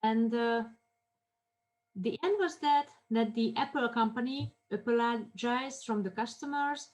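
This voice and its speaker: Woman lecturing in halting English. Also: on the high side, a little mellow. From Armenia. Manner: halting non-native English, many fillers and restarts. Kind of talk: classroom lecture on marketing cases.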